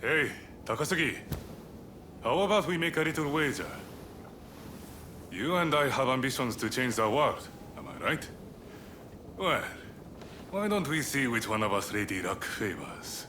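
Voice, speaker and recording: A man playing a relaxed, roguish gambling samurai in a video game cutscene, casual and teasing. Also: medium pitch, measured pace, long pauses, background noise.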